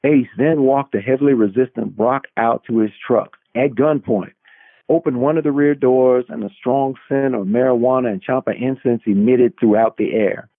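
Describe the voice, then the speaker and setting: A man's voice: medium pitch, moderate pace, no room sound. Man narrating a novel, slightly lo-fi. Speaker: author reading own work.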